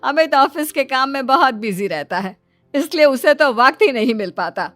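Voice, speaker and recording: Woman playing a polite middle-aged mother-in-law, higher voice. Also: lively intonation, normal speed, a bit bright, no echo, faint music behind. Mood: polite, explaining. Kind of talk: Hindi cartoon story dubbing.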